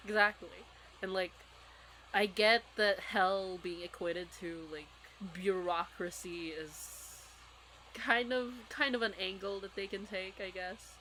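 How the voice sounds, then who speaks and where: A woman's voice: medium pitch, slow, pushed and bright, slight background noise, low-quality recording. A woman in a casual, rambling fan discussion, talking as a podcast co-host.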